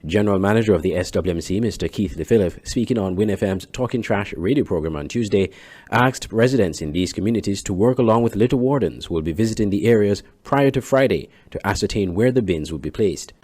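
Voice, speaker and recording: A man's voice: on the low side, animated, fast talker, a little mellow, breathy edge. A man with a Caribbean accent reading scripted news narration as a reporter.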